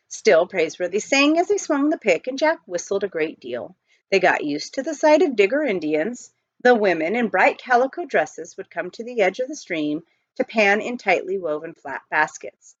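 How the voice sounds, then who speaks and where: A woman reading a storybook aloud in an expressive narrating style. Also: mid-range voice, dry recording. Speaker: audiobook reader, teacher. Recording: dull lo-fi close recording.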